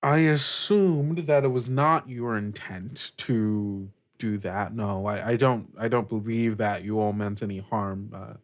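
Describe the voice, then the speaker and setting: A man plays a female pirate captain in a tabletop adventure. She speaks slowly and gravely, weighing each formal phrase with a thoughtful, solemn air. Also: lowish voice, steady tone, natural voice. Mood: guarded, calm. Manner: measured, solemn, formal wording.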